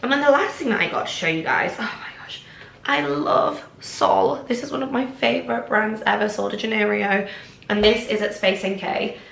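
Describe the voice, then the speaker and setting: A woman's voice: fairly high pitch, moderate pace, creaky, fairly bright tone, slight background noise. A young woman in her twenties from England, chatting casually to camera in a home vlog, bubbly and rambling, a bit snuffly and congested. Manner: bubbly, rambling, lots of "like" and "oh my gosh". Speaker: vlogger. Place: home kitchen, indoors. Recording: echoey room.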